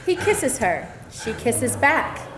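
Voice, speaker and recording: A woman's voice: high-pitched, sing-song, slow pace. A woman reading scripted stage directions as narrator at a live table read. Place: indoor venue, live reading. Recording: echoey room, faint noise.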